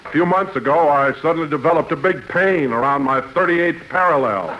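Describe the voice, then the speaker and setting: Actor as the World, highish and weary, muffled. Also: male voice, fairly quick, lightly acted. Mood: wry, ailing. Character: the World, ailing, weary joking fellow. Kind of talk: 1950s radio comedy acting. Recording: muffled old radio broadcast.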